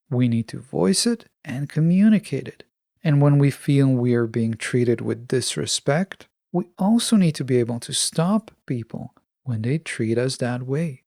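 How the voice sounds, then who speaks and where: A man with a fairly high voice and a creaky edge, recorded close and dry. He delivers a scripted self-help podcast monologue in a measured, instructive way. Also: warm tone, no echo. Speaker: podcast host.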